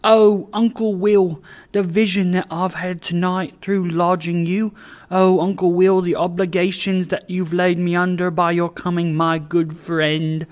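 A narrator voicing a doting, excitable old working-class father in an audiobook, exclaiming and repeating himself exuberantly. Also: mid-range voice, takes it slowly, natural voice. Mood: jubilant.

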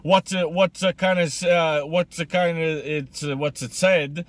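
Man doing a high-pitched, confused immigrant grandpa. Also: steady tone, no room sound, close to an everyday voice. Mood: halting, earnest. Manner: broken English, halting, repetitive. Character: older immigrant grandfather, confused, crude prank caller. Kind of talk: comedic prank phone call. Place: inside a parked car.

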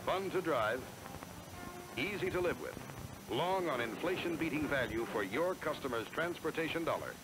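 A man's voice: on the high side, animated, moderate pace, low-quality recording. An American man reading scripted promotional narration in a polished, emphatic salesman style, on a 1970s film soundtrack. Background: music.

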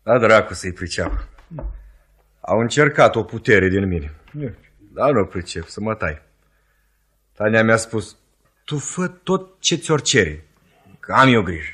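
Husky, bewildered young servant. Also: male voice, fairly low pitch, very animated, long pauses, a bit bright, full character voice. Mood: puzzled. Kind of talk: Romanian radio theatre acting. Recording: 1950s radio theatre recording.

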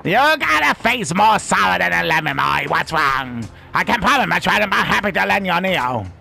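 A man putting on a gravelly drunk old geezer. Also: sits high, big pitch swings, normal speed, bright, forceful tone, full character voice. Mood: boozy, coaxing. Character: drunk rambling old man, sly and jovial.

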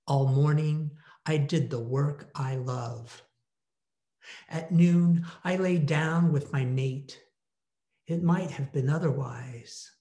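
A man's voice: a little high, warm tone. An older man giving a poetry reading from a room at home over a video call. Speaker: poet at a reading. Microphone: video call, computer mic. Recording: roomy video call sound.

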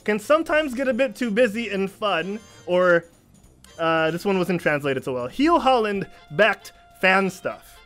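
A man with a notably high voice hosts a casual gaming podcast over a video call. He reads listener reviews with playful, theatrical announcing while faint music plays underneath. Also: big pitch swings, a little slow, a bit bright. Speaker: podcast host.

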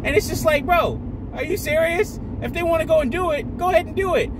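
A man's voice: very high-pitched, a little fast, noisy background. A man talking casually in a rambling, profane way, often searching for his words. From Jamaican home culture. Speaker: video creator. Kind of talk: casual monologue.

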